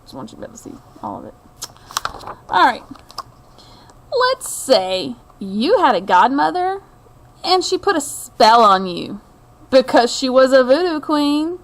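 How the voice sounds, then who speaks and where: High-voiced woman reading slowly to children. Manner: expressive storytelling with deliberate phrasing and asides to listeners. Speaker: read-aloud host. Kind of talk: children's picture book read-aloud.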